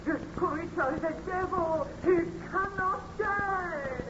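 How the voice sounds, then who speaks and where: Widow: helpless, tremulous, very high voice, melodramatic; old radio play with heavy noise. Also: female voice, slow pace. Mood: terrified. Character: frightened, grieving peasant widow, pleading and helpless. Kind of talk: old-time radio drama acting. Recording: muffled, noisy old radio recording.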